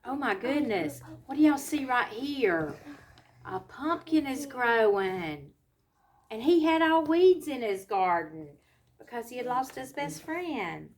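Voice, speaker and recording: A woman reads a picture book to young children. Her voice is high and her pace is slow. She breaks from the story to explain things in simple words and put questions to her listeners. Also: sing-song, a little mellow. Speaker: children's educator reading a storybook aloud.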